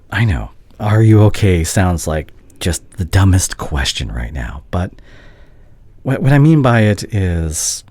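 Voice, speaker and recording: A man acting a conflicted doctor and caring ex-boyfriend in an intimate roleplay drama, halting and earnest, with a husky, breathy voice. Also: low voice, darkish tone, no echo, exaggerated voice. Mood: gentle, hesitant. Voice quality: husky, breathy, often dropping to a whisper.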